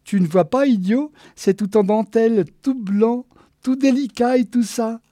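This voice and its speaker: A man's voice: high-pitched, moderate pace, mellow, dry recording, studio quality, voice pushed up, close to an everyday voice. A man voicing a brash, clingy, bossy woman in her twenties in a storybook reading, gushing and demanding. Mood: impatient, insistent.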